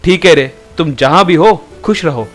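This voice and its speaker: A man playing an earnest, easily wounded eatery owner in an animated Hindi story. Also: a little high, moderate pace, faint music behind. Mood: hurt.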